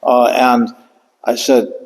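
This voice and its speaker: A man's voice: medium pitch, completely flat delivery, vocal fry. Older guy giving a book talk, lots of uhs and restarts, echoey hall. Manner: halting, with many fillers and restarts. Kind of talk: museum author talk. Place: lecture room. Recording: long hall reverb.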